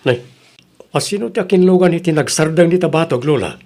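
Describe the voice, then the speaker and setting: Young male farmer acted by a man, Ilocano accent, fairly high voice, earnest, upright, radio drama. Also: animated, a little fast, dark tone, faint music behind. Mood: puzzled, polite.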